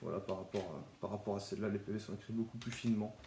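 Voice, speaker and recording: A young man with a cold talks in a relaxed, chatty way, showing his graded trading cards in a casual vlog. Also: on the low side, rapid-fire, roomy sound, slight background noise. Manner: relaxed, chatty, with small restarts. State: has a cold, stuffy. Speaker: Pokémon card collector YouTuber. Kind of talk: casual card showcase vlog.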